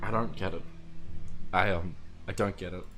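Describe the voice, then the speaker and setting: A hoarse young man losing his voice, giving casual, swearing, nervous game commentary. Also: sits low, steady tone, normal speed, sharp tone, soft background music. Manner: swears a lot, nervous and joking. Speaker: let's play gamer.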